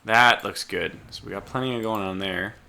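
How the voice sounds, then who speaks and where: A man's voice: fairly low pitch, normal speed, vocal fry, bright, forceful tone. A man losing his voice, talking to himself in a casual let's play of a strategy game with keyboard typing behind him. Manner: muttering to himself while playing. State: losing his voice, clearing his throat. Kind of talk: casual gameplay commentary.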